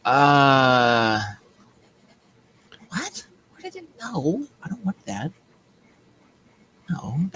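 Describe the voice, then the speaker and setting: A man's voice: mid-range voice, huge pitch swings, extremely slow, pauses often, pushed and bright, a little hiss or hum, poor audio. Rambling, mocking male show host. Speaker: host of a political commentary show. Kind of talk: casual, joking political commentary.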